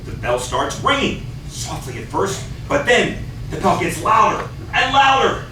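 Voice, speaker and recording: A middle-aged man narrates a play script in a high, lively voice that moves up and down a lot. He is recorded from far away in a very echoey theatre. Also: unhurried, a little hiss or hum. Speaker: stage directions reader. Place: small theatre stage, far camera.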